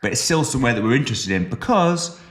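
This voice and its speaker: A man from the West Midlands co-hosting a podcast in casual chat, animated and teasing, with sudden jumps up in pitch when he stresses a point. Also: quick pace. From West Midlands, England. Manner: teasing, joking banter. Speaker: podcast co-host.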